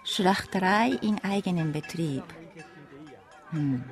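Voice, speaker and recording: An actress playing an enigmatic, coquettish Chinese showgirl who speaks in a measured, poetic way in a radio drama. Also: on the low side, expressive, soft background music. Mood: coy, enigmatic.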